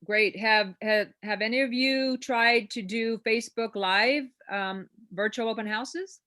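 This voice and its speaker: A woman's voice: on the high side, a little slow, pushed and bright. A woman hosting a real estate class on a video call, coaching in a chatty, encouraging way that rambles with fillers. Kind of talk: informal coaching talk.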